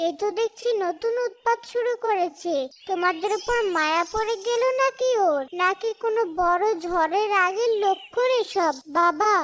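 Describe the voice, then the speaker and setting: A woman's voice: very high voice, a bit bright, slight background noise. Woman as an ominous, meddling older village neighbour. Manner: cautioning, dramatic. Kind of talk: cartoon voice acting.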